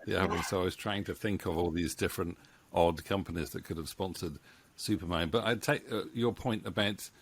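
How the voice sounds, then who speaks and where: Bloke with a deep voice hosting a casual chat, middle aged, wanders and stumbles thru his questions. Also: brisk, husky. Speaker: interviewer, writer-producer. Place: indoor home room with bookshelves. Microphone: studio microphone on boom arm, close.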